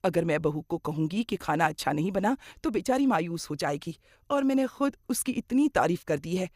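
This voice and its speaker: A woman's voice: on the low side, animated, moderate pace, a little mellow, dry recording, studio quality. A woman playing a middle-aged mother-in-law, kindly but grumbling and dramatic, in a moral cartoon story. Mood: resigned.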